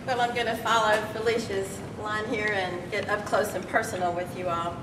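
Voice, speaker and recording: A woman with a slightly raspy voice gives an encouraging, chatty keynote speech to a live audience at a conference. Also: medium pitch, level tone, normal speed, a crowd in the background, noisy background. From Texas. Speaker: keynote speaker. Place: large conference hall. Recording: echoey hall, voice from a distance.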